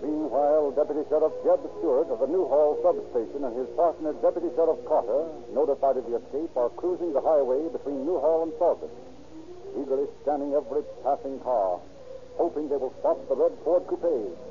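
A man's voice: fairly high pitch, brisk, long pauses. A man delivering dramatic scripted narration in the manner of 1930s radio. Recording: tinny, very hissy old radio recording.

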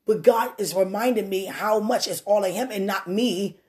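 A woman with a deep voice giving an animated testimony and preaching, swinging from loud bursts to hushed asides. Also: normal speed.

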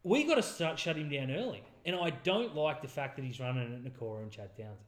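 A man's voice: medium pitch, lively intonation, quick pace. An Australian man co-hosting a casual sports podcast, with dry, gloomy and sweary humour. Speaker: podcast co-host. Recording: roomy echo.

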